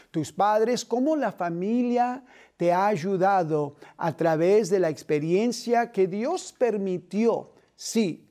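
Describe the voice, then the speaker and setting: Measured man hosting a faith show. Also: high-pitched. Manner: earnest, measured, with gentle hand gestures. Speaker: host. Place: TV studio.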